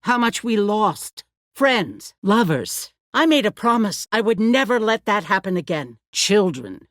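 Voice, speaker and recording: An actress playing a poised, commanding woman who leads a survivor settlement in a video game, speaking in a measured, courteous but firm way. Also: mid-range voice, very animated, no echo, clean studio sound. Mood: grave, defensive. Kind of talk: dramatic video game dialogue.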